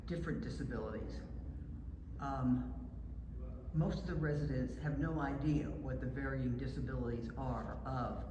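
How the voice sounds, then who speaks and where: An older man with a loud voice, speaking up at a panel discussion. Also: medium pitch, fairly even intonation, moderate pace. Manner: loud voice, says so himself. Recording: echoey hall with room noise.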